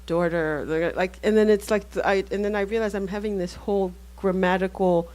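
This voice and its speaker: A woman author whose first language is Haitian Creole, speaking thoughtfully in a panel discussion, pausing and restarting often. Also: medium pitch, steady tone, darkish tone. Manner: thoughtful, halting, many restarts.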